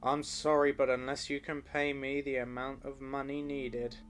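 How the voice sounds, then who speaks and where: A man's voice: medium pitch, level tone, moderate pace, faint music behind, natural voice. A man playing an officious, smug government official in a comic audio drama, pompous and unbending.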